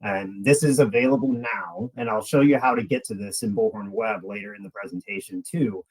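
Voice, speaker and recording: A man's voice: fairly low pitch, brisk, husky, rough recording. A man giving a webinar presentation as a product presenter, explaining in a conversational way and addressing the audience directly.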